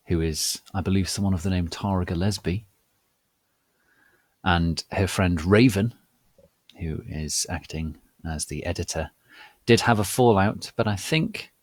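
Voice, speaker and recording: Deep-voiced, slow, theatrical male narrator. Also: dry recording. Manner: mock-solemn, deliberate, with dramatic pauses. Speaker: host and reader. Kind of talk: theatrical, scripted comic dramatic reading.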